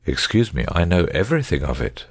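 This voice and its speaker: A man voicing a suave, assured gentleman detective in an audiobook story, speaking with bland, precise courtesy. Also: deep voice, very animated, a little fast, slightly rough, no room sound, exaggerated voice.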